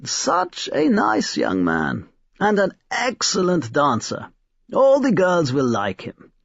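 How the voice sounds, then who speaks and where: An eager, proud old toymaker from a storybook, acted by a man. He speaks in a hearty, excitable way, pitched up and swooping, with a husky edge. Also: slow pace, heavily acted. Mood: excited, secretive. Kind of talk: storybook character reading. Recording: roomy, slightly dull recording.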